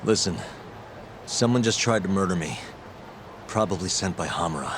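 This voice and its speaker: Low-voiced, dry, cool detective played by a man. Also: moderate pace, background noise, natural voice. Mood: grave, shaken. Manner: dry, understated. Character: cool, self-assured detective, adult man. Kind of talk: English video game voice acting. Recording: music under the voice.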